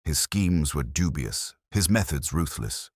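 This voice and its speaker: A man voicing an uneasy, hesitant Victorian gentleman in an audiobook, formal and guarded. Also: very deep voice, expressive, measured pace, dry recording, studio quality, heavily acted.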